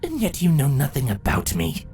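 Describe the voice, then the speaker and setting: A woman voicing an ancient changeling empress from a fantasy story, sadistic and mocking, speaking slowly and deliberately. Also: very deep voice, huge pitch swings, dark tone, soft background music, exaggerated voice. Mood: sadistic, gloating. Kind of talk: dramatic fan fiction voice acting.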